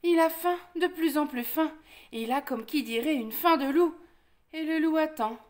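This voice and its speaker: A woman with a high voice narrates a children's picture book. She tells it expressively, stretching out suspense with pauses and leaning on repeated words. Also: a little mellow. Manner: dramatic pauses, emphatic repetition, addressing children. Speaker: storyteller.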